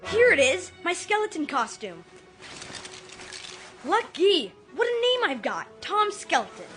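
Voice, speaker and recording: A woman playing an excitable thirteen-year-old boy in a radio drama. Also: extremely high pitch, measured pace, full character voice. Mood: gleeful. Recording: music and crowd noise behind.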